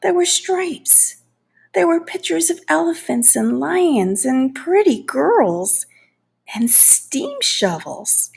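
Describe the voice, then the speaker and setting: A woman reading a children's story aloud expressively and deliberately, lingering on key words. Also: sits high, very animated, gravelly, a little mellow. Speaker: storybook reader.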